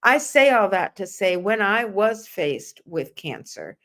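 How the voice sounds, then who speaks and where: A woman's voice: medium pitch, sing-song, unhurried, fairly bright tone. Emphatic middle-aged woman hosting an interview. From Russia. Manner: earnest, emphatic, addresses listeners directly. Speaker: host of a nursing and wellness talk show. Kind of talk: conversational interview, personal anecdotes.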